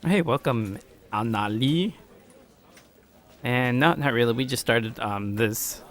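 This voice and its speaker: A man's voice: mid-range voice, slow, fairly bright tone. A man in a casual let's play style, reading a visual novel aloud informally and stumbling now and then. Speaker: gaming streamer.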